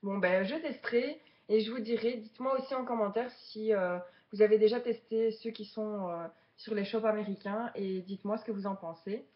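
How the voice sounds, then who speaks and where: A woman's voice: mid-range voice, normal speed, lo-fi sound, background noise. A woman of twenty-seven from Belgium, chatting casually to viewers as a beginner vlogger during an unboxing. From Belgium, Charleroi region.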